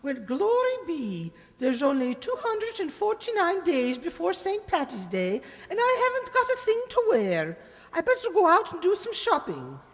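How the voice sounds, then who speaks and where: An actress as a brash older landlady, high-pitched and swooping. She is blustery and sharp-tongued in a radio comedy style, with hall reverb on her voice. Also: wildly swooping pitch, normal speed, full character voice. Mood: breezy. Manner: blustery, scolding. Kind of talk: old-time radio comedy acting. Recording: hall reverb on stage-like recording.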